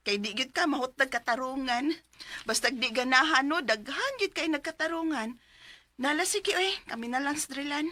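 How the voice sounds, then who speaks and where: A bright, high-voiced young Cebuano mother. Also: moderate pace, no room sound. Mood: coaxing, teasing. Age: 30s. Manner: expressive, animated. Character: 30-year-old single mother, lively, caring, worried about her child. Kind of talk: Cebuano radio drama, letter narration and dialogue.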